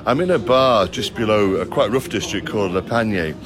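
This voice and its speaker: A man's voice: on the low side, fairly quick, a crowd in the background. A middle-aged man from Liverpool with a Scouse accent, presenting a travel documentary in a chatty way that talks straight to the viewers. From Liverpool, England. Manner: chatty, direct address to viewers.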